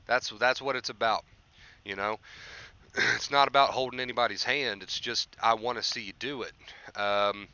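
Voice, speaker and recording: A man's voice: medium pitch, fairly even intonation, moderate pace, sharp tone, clear, resonant tone, dry recording, dull audio. A young man hosting a motivational livestream, speaking emphatically and informally with lots of repetition, his voice a little tired from overuse. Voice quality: voice tiring from overuse. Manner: emphatic, repetitive, often checks with "right?". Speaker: livestream host, anxiety recovery coach. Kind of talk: motivational rant to live chat.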